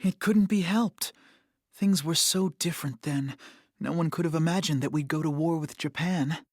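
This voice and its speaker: An actor playing a proud, soft, artistic young prince in an English anime-dub audio drama, polished and genteel. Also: male voice, a little high, moderate pace, a little hiss or hum, lightly acted. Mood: reflective.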